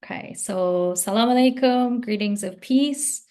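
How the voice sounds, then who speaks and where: A woman from Afghanistan hosting an online meeting, speaking thoughtfully with many pauses and ums. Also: a little high, warm tone. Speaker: host and circle coordinator. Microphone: video call.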